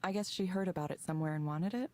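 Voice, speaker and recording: An actress voicing a reserved young woman working as a counseling proxy, quiet and measured. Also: on the low side, close to an everyday voice. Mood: puzzled. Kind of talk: video game voice acting. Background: game music.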